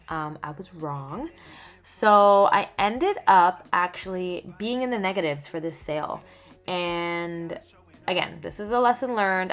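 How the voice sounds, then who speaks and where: Young woman, lowish voice, casual candid vlog narration, faint music under. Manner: chatty, candid, lively swings in pitch and loudness. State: just over laryngitis. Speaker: small skincare business owner.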